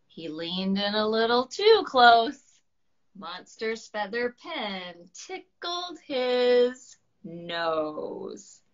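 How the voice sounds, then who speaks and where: A woman reading and chatting to children in an expressive storybook style, with slightly dull sound. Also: on the high side, very slow. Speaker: children's book reader, writing teacher. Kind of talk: animated storybook read-aloud and friendly chat.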